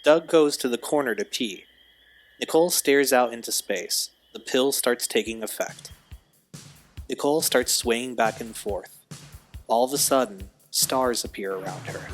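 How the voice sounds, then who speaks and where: A man's voice: medium pitch, a bit bright. A man narrating an audio drama from a script, describing the action in a matter-of-fact way.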